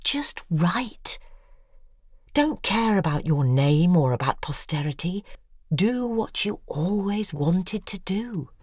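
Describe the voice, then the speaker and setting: An actress playing a bitter, imperious older novelist in an audio drama, with a theatrical, insistent delivery. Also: female voice, lowish voice, big pitch swings, takes it slowly, a little mellow, breathy edge, dry recording, clean studio sound, heavily acted. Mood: insistent, commanding.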